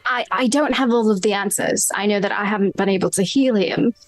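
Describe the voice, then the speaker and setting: A woman's voice: medium pitch, brisk, dry recording. A woman playing a tabletop roleplaying game, thinking aloud in a tentative, casual way. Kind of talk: improvised in-game discussion and casual table talk. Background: background music.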